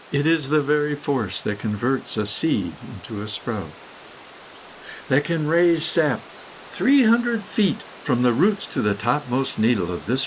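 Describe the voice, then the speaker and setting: A man reading his own scripted meditative prose poem in a solemn, deliberate manner with dramatic phrasing. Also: medium pitch, a little hiss or hum, low-quality recording. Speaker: author reading own essay.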